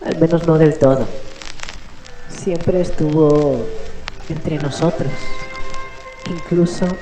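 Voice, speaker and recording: An actress plays a frightened, haunted woman in a radio play. Her voice is low and echoing, her delivery grave and halting, over music and rain. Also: fairly even intonation, warm tone. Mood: haunted, fearful. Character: fearful, haunted woman sharing a dark secret. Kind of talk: radio drama acting. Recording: echoey, music and rain behind.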